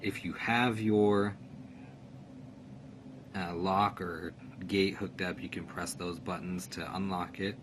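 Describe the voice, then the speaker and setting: A man's voice: sits low, fairly even intonation, slow pace. A man giving a casual, matter-of-fact, step-by-step tutorial as a product reviewer. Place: indoors in a room. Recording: clear background noise, room ambience.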